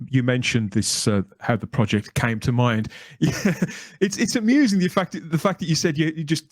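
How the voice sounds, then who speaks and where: A man's voice: on the high side, no room sound. A man hosting a podcast, asking guests wordy, roundabout questions in a conversational interview style.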